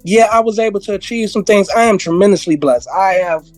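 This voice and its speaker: A hoarse man, a music industry veteran, talking as a podcast guest, rambling through stories, repeating himself and laughing often. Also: high voice, a little fast, a little mellow. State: hoarse, losing his voice. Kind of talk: casual interview conversation.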